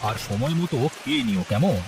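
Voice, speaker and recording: A man's voice: medium pitch, lively intonation, brisk, noisy background, lightly acted. A man voicing a kindly, trusting village grocer and husband in a cartoon. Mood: affectionate. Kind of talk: cartoon voice acting.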